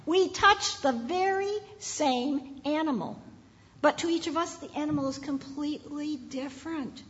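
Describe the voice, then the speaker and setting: A woman's voice: high-pitched, unhurried, fairly bright tone, in a large hall, lo-fi sound, slight background noise. A woman voicing an earnest, apologetic blind man.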